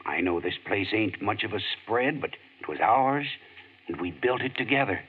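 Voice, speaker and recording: A man's voice: on the low side, lively intonation, moderate pace, husky. A man playing a folksy rancher stepfather with a coaxing drawl in a 1940s radio drama. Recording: tinny, faintly hissy radio broadcast.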